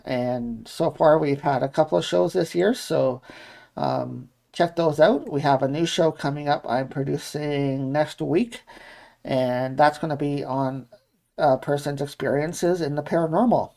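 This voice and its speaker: A man's voice: on the high side, a little slow, gravelly, a little mellow. A man with a Canadian accent co-hosting a live podcast in a casual, chatty style. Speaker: podcast co-host and producer. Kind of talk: casual live show chat.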